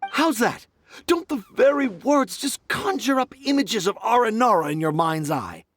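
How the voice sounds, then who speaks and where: A man's voice: extremely high pitch, big pitch swings, normal speed, a bit bright, full character voice. A man playing a self-assured young storyteller in a video game, florid and theatrical in manner. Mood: inspired, proud. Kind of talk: video game character acting.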